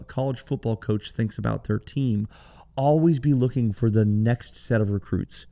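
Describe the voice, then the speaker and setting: A man hosting a podcast alone, talking in a conversational, explanatory way, his voice hoarse and raspy from cheering. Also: medium pitch, warm tone. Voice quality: gravelly, raspy. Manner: conversational, explanatory, with some restarts. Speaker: podcast host. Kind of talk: solo business podcast talk.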